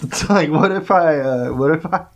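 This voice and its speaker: A man's voice: on the high side, normal speed. A man co-hosting a casual comedy podcast, riffing on absurd bits and laughing often. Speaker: podcast co-host.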